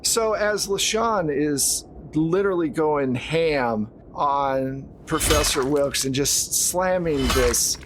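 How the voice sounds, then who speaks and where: Higher-voiced male game master, noisy recording. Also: takes it slowly, hardly any pauses. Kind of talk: narrating a horror tabletop roleplaying game.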